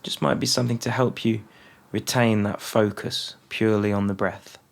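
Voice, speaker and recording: Guy with a gravelly voice talking you through a breathing meditation, calm and slow, lots of pauses. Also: fairly low pitch. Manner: calm, unhurried, with long pauses between phrases. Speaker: meditation guide. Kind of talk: guided mindfulness meditation.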